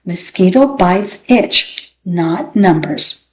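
A woman's voice: on the low side, animated, very slow, slight background noise, poor audio. A woman voicing a firm, matter-of-fact female schoolteacher in a children's story. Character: firm, unflappable female primary school teacher.

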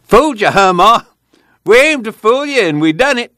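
A man voicing a gleeful, folksy farm father from the in an audiobook, excitable and tumbling over his words. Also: on the high side, a little slow, dry recording, clean studio sound, pitched above the performer's own voice, heavily acted. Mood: gleeful, teasing. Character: gleeful rustic farm father, chuckling storyteller. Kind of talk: audiobook character acting.

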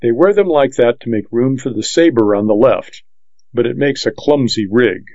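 A man reading a western audiobook in the first person, with measured, plain storytelling. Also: mid-range voice, a little mellow, no echo. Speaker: audiobook narrator. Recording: dull, lo-fi dry audiobook recording.

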